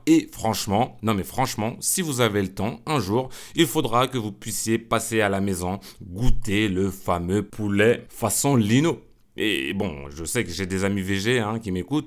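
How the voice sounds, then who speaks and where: Playful low-voiced man hosting a podcast. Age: middle-aged. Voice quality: smooth low voice. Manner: playful, self-mocking, lots of asides and "hein". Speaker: podcast host. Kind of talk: casual solo podcast monologue.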